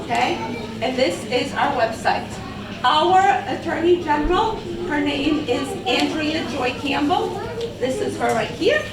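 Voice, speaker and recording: A woman's voice: high voice, expressive, measured pace. A woman from Portugal, a community engagement coordinator, giving a patient workshop talk and often checking in with "okay?". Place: large public hall with an audience. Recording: echoey hall from far off, crowd noise.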